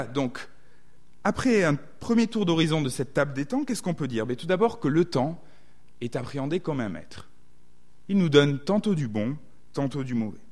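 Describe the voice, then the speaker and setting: A man's voice: medium pitch, darkish tone. A man with a southwestern French accent preaching a sermon, thoughtful and often hesitating to reword his thoughts.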